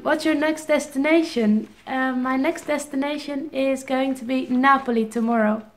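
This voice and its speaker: A woman's voice: a little high, reverberant. A Dutch-accented woman in her twenties, reading out viewer questions and answering them casually with ums and restarts. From Netherlands. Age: 20s. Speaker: airline pilot vlogger. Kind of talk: casual Q&A vlog.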